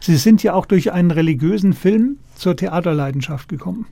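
A man's voice: sits high, lively intonation, brisk, creaky, dark tone, dry recording. A man hosting a cultural radio interview, putting questions in a conversational way.

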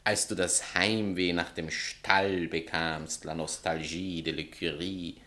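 A man's voice: low-pitched, fairly even intonation, slow, bright, forceful tone, full character voice. An actor playing a vain, scornful male writer and spurned lover in a satirical stage comedy, pompous and declamatory. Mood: grandiose, reproachful. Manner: pompous, theatrical, declamatory, swinging from outbursts to sneers. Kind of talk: theatrical satirical comedy acting.

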